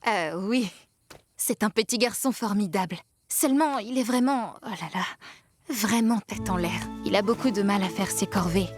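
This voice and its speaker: A woman playing a welcoming but fretful innkeeper mother in a French cartoon, gently scolding and apologetic. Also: mid-range voice, normal speed, natural voice. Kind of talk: French animated series dubbing. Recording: music behind the voice.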